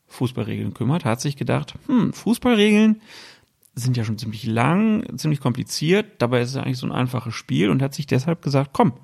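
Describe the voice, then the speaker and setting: A man's voice: mid-range voice, creaky, a little mellow. A male podcast host leading a casual chat with a jokey, teasing manner.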